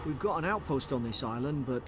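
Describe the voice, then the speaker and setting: An actor playing a loyal, worried naval gunner in a video game, earnest and respectful, with noise behind him. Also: male voice, medium pitch, animated, a little fast, close to an everyday voice. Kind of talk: video game character dialogue. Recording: clear background ambient noise from game.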